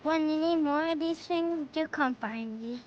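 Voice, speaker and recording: Little boy about four, chirpy and chatty, high pitched, audio drama, bit dull sound. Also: a little slow, slight background noise. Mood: chirpy, cheeky. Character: cheerful little boy, four, cheeky and chatty hospital patient.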